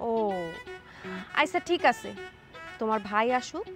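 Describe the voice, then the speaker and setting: A woman acting a sharp-tongued, defiant daughter-in-law who rules the house in a family drama, with a Bangladeshi accent. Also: fairly high pitch, animated, measured pace, faint music behind. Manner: commanding, threatening, scornful.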